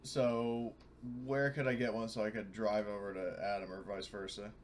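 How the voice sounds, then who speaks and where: A man's voice: on the low side, little pitch movement, moderate pace. A man playing a tabletop roleplaying game, chatting in a casual, jokey way about his character's moves. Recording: faint background noise.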